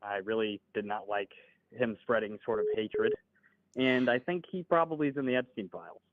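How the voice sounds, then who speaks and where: A man in his twenties talks through a call in a lowish, measured voice, hedging each point politely. The audio is thin and phone-like, and completely dry. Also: no echo. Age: 20s. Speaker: caller. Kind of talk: casual political debate.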